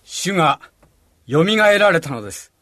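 A man's voice: on the high side, sing-song, moderate pace, sharp tone, no room sound, studio quality, full character voice. A man playing an earnest, devout disciple in a radio drama, speaking emphatically. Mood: excited.

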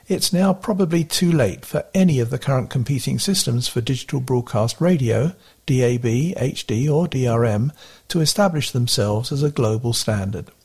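A man's voice: medium pitch, darkish tone, breathy edge. A man reading a scripted report as a radio correspondent. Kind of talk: scripted narration.

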